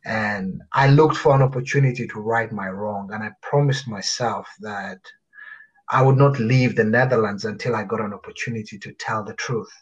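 Man in his 30s from Uganda, husky low voice, muffled lo-fi audio, unhurried storytelling guest. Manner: reflective, halting with uh fillers. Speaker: guest author. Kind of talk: interview, personal storytelling.

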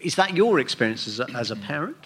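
A man's voice: mid-range voice, sing-song, fast, fairly bright tone, breathy edge. A man hosting a live political panel debate in front of a theatre audience. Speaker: host.

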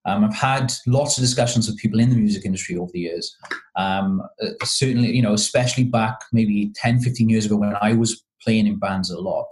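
A man's voice: on the low side, brisk, a little mellow, low-quality recording. A man from Wales, a podcast guest talking casually and hesitantly with many ums and restarts. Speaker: podcast guest, marketing consultant.

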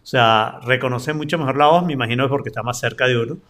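A man's voice: medium pitch, fast talker, a bit bright. A man in a casual group chat, explaining his opinions at length in a jokey way. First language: Spanish.

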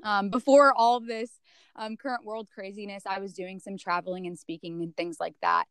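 A young woman guest speaks earnestly and reflectively on a podcast, often pausing with um. Her voice is mid-pitched, smooth and clear, and the recording is dry and close. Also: fairly even intonation, fairly bright tone, no room sound. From San Francisco area, California. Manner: earnest, reflective, many ums. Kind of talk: casual interview chat.

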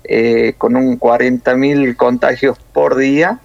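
A man from Córdoba with a Cordoban Argentine accent, a nurse explaining things in a measured way with many hesitations over a phone interview. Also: mid-range voice, level tone, vocal fry, a little mellow, dry recording, lo-fi sound. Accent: Cordoban Argentine Spanish.

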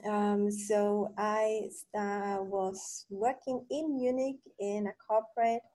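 A woman's voice: mid-range voice, steady tone, takes it slowly, warm tone, no room sound. A woman in her thirties from Poland, answering interview questions hesitantly with many ums and restarts. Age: 30s. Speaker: podcast guest.

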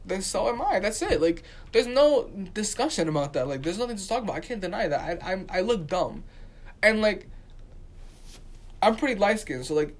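A man's voice: high-pitched, sing-song, moderate pace. A man talking casually and with opinion, speaking emphatically and repeating his points for stress. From Dominican community.

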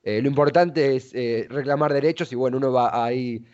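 A man's voice: medium pitch, a little fast, a little mellow, muffled recording. A man with an Argentine accent conducting a conversational interview, wordy and often searching for his words. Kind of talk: conversational radio-style interview.

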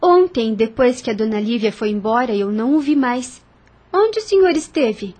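A high-voiced, proud young widow. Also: female voice, big pitch swings, normal speed, darkish tone. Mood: firm. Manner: formal, earnest, emphatic. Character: proud, principled young widowed landowner, firm and dignified. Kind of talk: radio drama acting.

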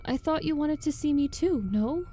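A woman playing an undead mother in a horror audio story, coaxing sweetly and insistently in a breathy voice. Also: high-pitched, lively intonation, dark tone, faint music behind. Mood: eerily tender. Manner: slow, coaxing endearments.

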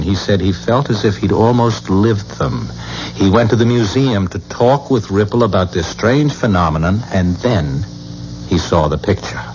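Male actor as insistent, grave family friend, lowish voice, persuasive, vintage radio drama with muffled sound. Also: a little mellow, natural voice. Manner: urgent, pleading, persuasive. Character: earnest, insistent male family friend breaking troubling news. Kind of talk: 1970s radio drama acting. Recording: dull, noisy 1970s radio recording.

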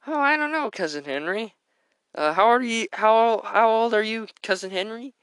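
A woman's voice: a little high, lively intonation, moderate pace, a bit bright, clear, resonant tone, no echo, clean studio sound, pitched below the performer's own voice. A woman voicing an awkward teenage boy who mumbles and hesitates in a homemade cartoon.

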